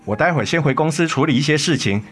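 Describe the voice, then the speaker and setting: A man acting a remorseful, devoted middle-aged father in a radio drama, gentle, tender and coaxing. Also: on the high side, animated, rapid-fire, breathy edge. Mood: tender, grateful. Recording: music under the voice.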